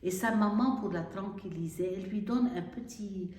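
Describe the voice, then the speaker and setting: A woman's voice: medium pitch, moderate pace, a little mellow. A woman from Syria, a Carmelite nun, speaking earnestly and with feeling, sometimes searching for words. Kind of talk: interview testimony. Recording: echoey room, distant mic.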